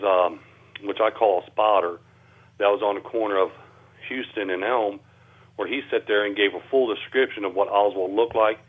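Plainspoken man on a tinny phone line. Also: on the low side. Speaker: interview guest and author. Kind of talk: casual explanatory interview talk. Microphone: telephone.

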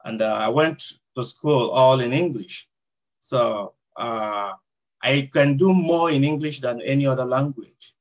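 A man with a Nigerian accent speaks in a measured, thoughtful way as a hospital chaplain in an interview. He pauses often with small fillers while he explains, and his voice sits at an ordinary middle pitch. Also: low-quality recording. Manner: reflective, measured, frequent "uh" fillers. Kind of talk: interview answers.

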